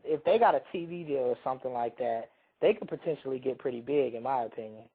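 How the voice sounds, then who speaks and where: A man co-hosting a radio talk show, telling a long, rambling story while hoarse from a cold. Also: mid-range voice, fast, phone-quality sound. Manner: rambling, self-interrupting storyteller. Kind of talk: casual chat, storytelling.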